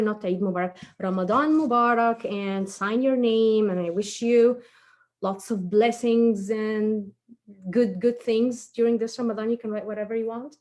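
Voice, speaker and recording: A woman presenting a children's craft tutorial step by step, informal and encouraging as she talks while working with her hands. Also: mid-range voice.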